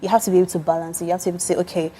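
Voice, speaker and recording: A woman's voice: on the low side, flat delivery, rapid-fire, mellow, breathy edge. A woman with a Nigerian accent hosting a casual panel talk show.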